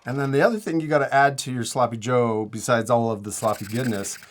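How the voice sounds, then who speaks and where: A man's voice: mid-range voice, brisk. A man hosting a casual step-by-step art tutorial, giving encouraging, simple instructions for children. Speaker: host teaching drawing to children.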